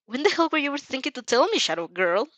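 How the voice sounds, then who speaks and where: A woman's voice: sits high, big pitch swings, quick pace, a bit bright, no room sound, pitched above the performer's own voice. A woman voicing an aggravated, scornful teenage boy student in an amateur fan fiction reading, scolding and incredulous. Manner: scathing, incredulous.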